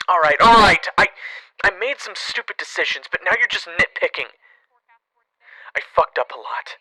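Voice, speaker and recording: A man plays a struggling crook pleading with his brother in an audio drama. The voice is very bright and tense, a bit high for a man, and husky. He comes through a phone-call filter, earnest and defensive. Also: expressive, normal speed, no echo, heavily acted. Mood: defensive, regretful. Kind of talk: audio roleplay acting.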